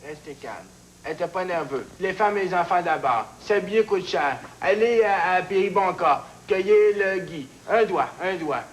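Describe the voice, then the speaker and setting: A man playing a flustered, hapless game show contestant with a Quebec French accent, blurting guesses in halting fragments. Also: sits high, lively intonation, slight background noise, low-quality recording. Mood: flustered, searching.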